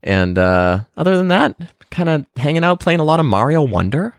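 A man's voice: a little high, sing-song, moderate pace, creaky, dry recording, studio quality. A man co-hosting a podcast, talking casually and rambling with lots of fillers. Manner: rambling, with many fillers and restarts. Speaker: podcast co-host. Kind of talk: casual chat.

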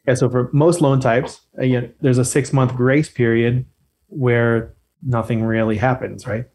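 A man's voice: medium pitch, little pitch movement, a little slow, darkish tone. A man talking as a podcast guest, explaining things in a chatty, lightly joking way. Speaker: guest expert on loans. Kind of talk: casual podcast chat.

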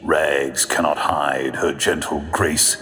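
A man's voice: extremely low pitch, fairly even intonation, fairly bright tone, heavily acted. A man playing a solemn, brutally honest magic mirror spirit in a radio fairy tale parody, speaking in a grand, archaic way. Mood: solemn, ominous. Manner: slow, grandly archaic, drawn-out delivery. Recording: long hall reverb, music underneath.

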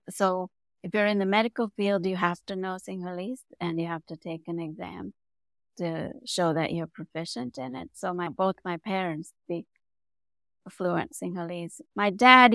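A middle-aged woman from Sri Lanka with a Sri Lankan accent, a native Tamil speaker, telling her story as an interview guest and growing animated as she goes. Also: no echo. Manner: animated, rising in pitch and volume when telling a story. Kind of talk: conversational storytelling.

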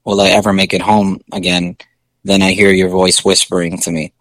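A male singer with a low speaking voice, chatting casually in an interview with many fillers and restarts. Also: level tone, normal speed, fairly bright tone, no room sound.

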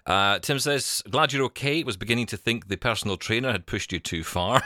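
A man's voice: medium pitch, wildly swooping pitch, measured pace, noisy background. A man hosting a podcast in casual, bantering chat, with a jokey, self-deprecating manner. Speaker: podcast host, broadcaster.

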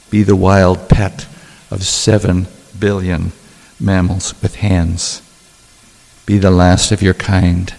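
A man reading his own poetry and talking about it, in a deliberate, measured way with dramatic pauses. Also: fairly low pitch, vocal fry, a little mellow. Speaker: poet reading own work. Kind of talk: poetry reading with spoken asides. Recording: long hall reverb.

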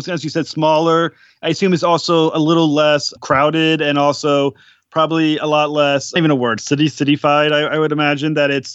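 A man's voice: a little high, no echo, highs cut off. A man interviewing a guest, chatty and laughing, asking long wandering questions. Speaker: podcast interviewer. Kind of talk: casual interview chat.

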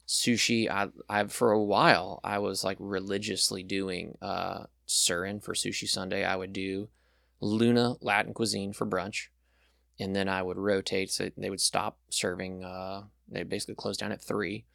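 A man's voice: low-pitched, little pitch movement, moderate pace, creaky, fairly bright tone, dry recording, clean studio sound. A young man chatting as a podcast guest, rambling and enthusiastic with lots of fillers. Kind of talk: casual chat.

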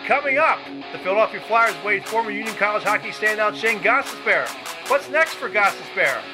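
A man's voice: sits high, animated, fairly quick, over music. A man hosting a sports podcast, introducing and interviewing guests. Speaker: podcast host, sports editor.

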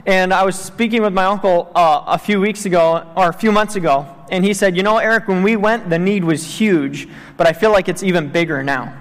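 High-voiced missionary man in a hall. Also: a little fast, hardly any pauses. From Tanzania. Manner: earnest, with many fillers. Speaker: missionary speaking to a church. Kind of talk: church missions talk. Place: church sanctuary. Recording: long church hall reverb.

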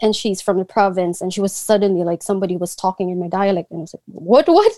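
A woman's voice: medium pitch, animated, fast talker, warm tone, no echo. A woman in her thirties from the Philippines, a guest in a conversational interview, speaking hesitantly with frequent restarts. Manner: hesitant, many restarts and fillers.

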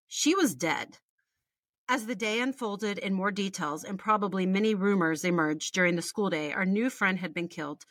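A woman's voice: mid-range voice, a bit bright, no echo. An American woman in her forties, a podcast co-host reading a personal story aloud with candid, wry, chatty asides and casual swearing. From Texas, United States. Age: 40s.

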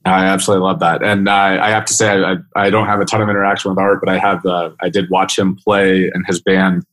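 A man's voice: deep voice, flat delivery, fast, a bit bright. A man hosting a podcast, talking casually as he interviews a guest.

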